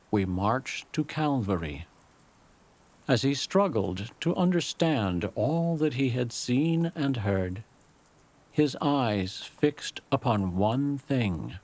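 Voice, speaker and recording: Slow-paced man narrating a story. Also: mid-range voice, darkish tone. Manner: measured, literary storytelling. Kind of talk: scripted dramatic story narration.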